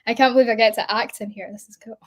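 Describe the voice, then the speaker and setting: A young woman with a soft, polished Scottish accent, talking in a bubbly, animated way and breaking into giggles. Her voice sits a touch high. She tells stories in a casual interview chat. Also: brisk. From Scotland. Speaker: actress guest.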